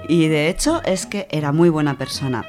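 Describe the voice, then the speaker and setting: A woman's voice: deep voice, moderate pace, a little mellow, faint music behind. A woman with an accent from Spain gives an informal radio talk in a conversational way, repeating words as she goes. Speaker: radio book-segment contributor. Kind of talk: informal spoken presentation.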